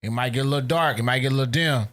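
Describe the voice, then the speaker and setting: A man hosting a casual podcast chat, talking in a relaxed way with slang and many fillers. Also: mid-range voice, very fast. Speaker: podcast host.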